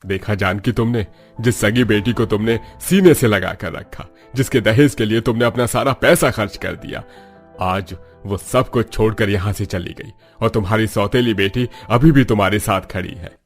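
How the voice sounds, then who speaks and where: A man's voice: on the low side, normal speed, faint music behind, natural voice. A man voicing a middle-aged father and husband in a cartoon, concerned, questioning and reproachful. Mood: admonishing.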